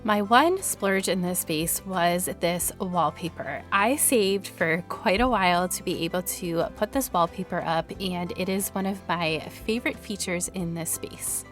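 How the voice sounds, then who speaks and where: A woman who sounds a little raspy from allergies, giving upbeat, friendly, scripted voice-over narration as a home decor vlogger. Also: fairly low pitch, unhurried, few pauses, soft background music.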